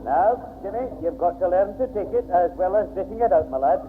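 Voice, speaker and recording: A man's voice: high-pitched, animated, a little fast, a little hiss or hum. An actor playing a teasing, chiding old grandfather in a 1960s radio sitcom. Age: older. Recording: narrow-band old 1960s radio broadcast.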